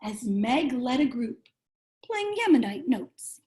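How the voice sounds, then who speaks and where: A woman reading a children's storybook aloud in a measured, expressive storytelling manner. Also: on the high side. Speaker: cantor reading a bedtime story.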